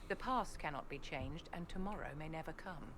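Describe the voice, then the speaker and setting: An actress playing an imperious, calculating court lady in a video game, with a measured, formal, condescending manner. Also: deep voice, animated, background noise. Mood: cold, scolding.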